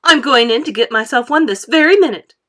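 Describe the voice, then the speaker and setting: A woman's voice: expressive, brisk, a bit bright. A woman voicing a spoiled, demanding rich little girl who shrieks for what she wants. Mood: defiant. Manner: shrill, demanding, bratty.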